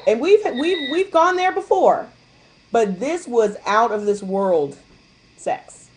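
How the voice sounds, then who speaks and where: A woman's voice: fairly high pitch, expressive, slow, rough recording. A woman speaking candidly in a casual call-in chat, telling stories and acting out what others said. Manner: candid, chatty storyteller who acts out quotes. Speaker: guest distributor sharing her experience.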